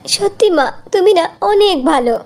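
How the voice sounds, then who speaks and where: A woman's voice: extremely high pitch, lively intonation, moderate pace, a little mellow. A woman voicing a sweet, long-suffering young girl in a cartoon fairy tale, childlike and eager. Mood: delighted.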